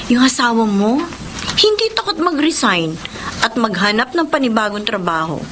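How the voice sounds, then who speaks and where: Radio drama: bubbly, nosy gal pal, high bright voice, teasing delivery, faint noise. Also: female voice, very animated, moderate pace. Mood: chatty, teasing. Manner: gushing, teasing. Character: bubbly, teasing woman friend, cheerfully nosy. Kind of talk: Filipino radio drama acting.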